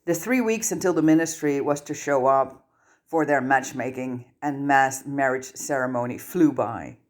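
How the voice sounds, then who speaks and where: A reader giving an expressive scripted narration of a story, as in an amateur audiobook. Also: medium pitch, normal speed. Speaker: fan fiction audiobook reader.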